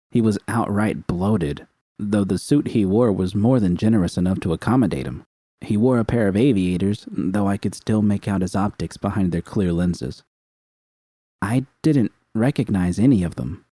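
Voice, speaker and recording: A man reading a first-person fan fiction story aloud in a calm, steady way. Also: low-pitched, no echo.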